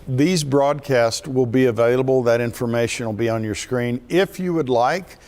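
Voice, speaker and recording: American guy hosting a faith show, middle-aged, eager, average pitch. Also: normal speed. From United States. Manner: enthusiastic, warm-hearted presenter. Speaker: broadcast host.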